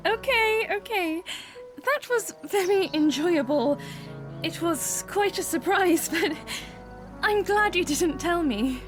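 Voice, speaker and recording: A woman playing a shy, earnest young princess in a fan audio drama, speaking politely and haltingly. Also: very high voice, expressive, music in the background, full character voice. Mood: amused. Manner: hesitant, halting, polite formal phrasing.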